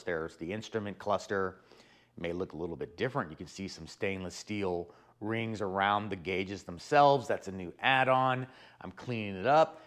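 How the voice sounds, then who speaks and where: A man with a hoarse, sore-throat voice, casually explaining car repair steps as host of his own channel. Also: fairly low pitch, a bit bright. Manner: chatty, rambling, explains step by step. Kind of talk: casual DIY car tutorial.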